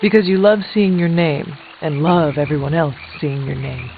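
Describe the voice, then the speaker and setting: An actor playing an earnest, resolute resurrected warrior in a video game, speaking in a formal, measured way. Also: male voice, a little high, vocal fry, a little hiss or hum. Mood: inquiring. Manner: formal, measured, avoids contractions. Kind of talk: video game character dialogue. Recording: thin, phone-like narrow audio.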